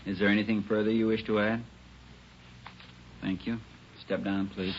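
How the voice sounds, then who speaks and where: An actor playing a formal, impersonal American coroner who questions witnesses procedurally in a 1940s radio drama. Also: male voice, on the low side, normal speed, natural voice. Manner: monotone, procedural. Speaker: coroner's inquest official. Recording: dull old radio, faint noise.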